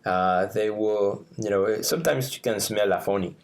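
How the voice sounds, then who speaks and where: Mexican guy, spanish first language, podcast cohost, animated, laughs a lot, lots of uhs. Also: lowish voice, moderate pace. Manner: animated, laughs often, many fillers. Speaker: podcast co-host. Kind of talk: casual podcast chat.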